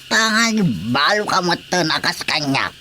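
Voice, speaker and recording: An actor with an Ilocano accent playing a villager chiming in at a meeting in a radio drama comedy. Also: male voice, high-pitched, expressive, measured pace, slight background noise, exaggerated voice. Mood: chiming in, questioning. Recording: loud crowd chatter behind.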